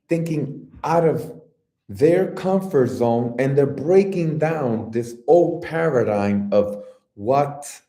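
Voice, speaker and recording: A man coaching his listeners through an earnest motivational talk, speaking straight to them with steady encouragement. His voice is warm and mellow, and he takes his time over each phrase. The room around him rings with a noticeable echo. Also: mid-range voice. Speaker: life coach.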